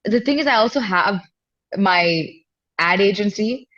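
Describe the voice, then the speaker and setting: A woman's voice: medium pitch, expressive, measured pace, a bit bright, muffled recording. A woman with an Indian accent chatting casually and candidly as an interview guest, with informal phrasing. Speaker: singer-songwriter guest. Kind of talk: casual chat.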